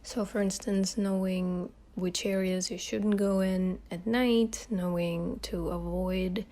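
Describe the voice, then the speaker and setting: A young woman with a Russian accent, hosting a casual podcast monologue while she has a cold, talking softly and hesitantly and often dropping into a breathy whisper. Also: medium pitch, fairly even intonation, takes it slowly. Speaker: ASMR channel host.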